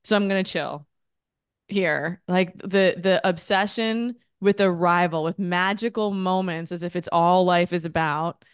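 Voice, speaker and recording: A woman in her thirties co-hosting a podcast, earnest and animated, talking in long runs. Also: on the low side, a little slow, smooth, dry recording, studio quality. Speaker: podcast co-host. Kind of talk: casual podcast chat.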